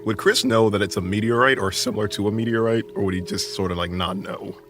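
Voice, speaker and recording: A man role-playing as a player in a tabletop game, speaking in a dry, measured, sometimes hesitant way. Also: fairly low pitch, steady tone, a bit bright, faint music behind. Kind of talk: in-character tabletop role-play. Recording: slightly distant mic, faint room noise.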